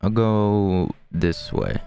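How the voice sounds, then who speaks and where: A man's voice: on the low side, drawn-out words, creaky, mellow, clean tone. A man giving casual, joking, sometimes profane commentary while playing a video game. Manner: laid-back, joking, profane. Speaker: game streamer.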